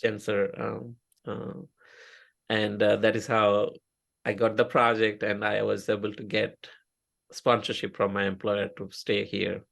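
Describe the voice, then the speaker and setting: A middle-aged man with an Indian accent, a native Oriya speaker, talks through his career in a casual interview. His low, gravelly voice is halting, full of fillers and restarts. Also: monotone, a bit bright. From India (Odisha). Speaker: interview guest, software engineer.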